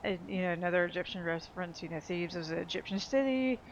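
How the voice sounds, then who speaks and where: A woman's voice: on the low side, expressive, fast talker, highs cut off, slight background noise. A woman giving casual video game walkthrough commentary, thinking aloud in a rambling way. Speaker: gaming video host. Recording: game music behind the voice. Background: video game music.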